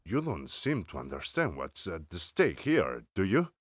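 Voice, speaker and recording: A man playing a stern, solemn older vampire-slayer elder, speaking gravely and formally in a cinematic audio roleplay. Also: low-pitched, huge pitch swings, a little slow, no echo, clean studio sound, full character voice. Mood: grave, insistent.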